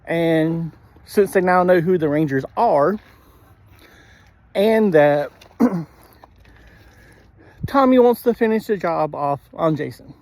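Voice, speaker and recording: A man giving a casual spoken episode review in a halting way, often clearing his throat. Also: on the high side, expressive, darkish tone.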